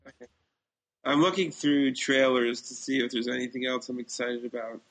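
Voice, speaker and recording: A man's voice: mid-range voice, fairly even intonation, normal speed, poor audio. A man co-hosting a podcast, chatting casually with a laid-back, teasing manner. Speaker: podcast co-host.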